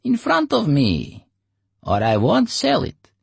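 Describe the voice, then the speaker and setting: A man voicing a stubborn, scruffy bearded little male egg seller, in a high, drawn-out, insistent voice. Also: wildly swooping pitch, creaky, husky, no room sound, full character voice. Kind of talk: audiobook character voice.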